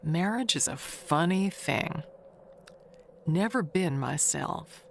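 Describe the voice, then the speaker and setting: Audio mystery drama: actress as a gossipy older spinster neighbor, low and gravelly, confiding and insinuating, slow with loaded pauses. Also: female voice, a little hiss or hum, heavily acted. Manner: confiding, chatty, with pointed pauses and hushed asides. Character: gossipy, wistful, never-married older woman neighbor, insinuating.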